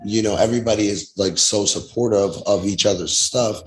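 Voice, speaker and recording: A man with a raspy, hoarse voice, chatting casually as a guest in a music studio, laid-back and rambling with many fillers. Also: lowish voice, a little fast, poor audio. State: hoarse, losing his voice. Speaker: guest, venue crew member. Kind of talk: casual chat.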